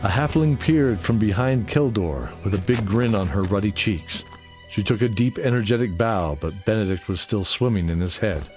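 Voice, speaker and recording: Deep guy narrating a fantasy audio drama, dramatic and deliberate, music behind. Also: darkish tone. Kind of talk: dramatic scripted storytelling narration.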